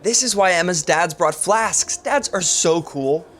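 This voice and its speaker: A man's voice: high voice, lively intonation, measured pace, a bit bright. A man acting an awkward, dateless best man in a podcast sitcom. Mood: eager.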